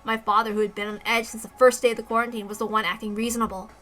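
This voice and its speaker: A woman narrates a story aloud in a high voice. Her tone is bright and clear-cut, with music playing behind her. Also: little pitch movement, very fast. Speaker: reader of a visual novel story. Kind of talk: expressive story read-aloud, first-person narration.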